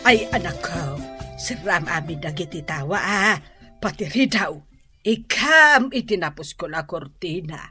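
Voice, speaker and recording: Melodramatic Ilocano grandma, wildly swooping voice. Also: female voice, on the low side, expressive, bright, forceful tone, faint music behind. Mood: bossy, fussing. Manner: melodramatic, wailing exclamations and drawn-out cries. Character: doting, bossy, sentimental new grandmother. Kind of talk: comedic radio drama acting.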